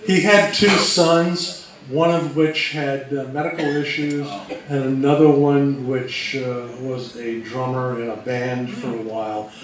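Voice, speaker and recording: A man's voice: mid-range voice, fairly even intonation, unhurried, a crowd in the background. A man co-presenting as a researcher, talking casually to an audience. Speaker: co-presenter, old radio researcher. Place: roomy indoor presentation space. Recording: very echoey room, distant mic.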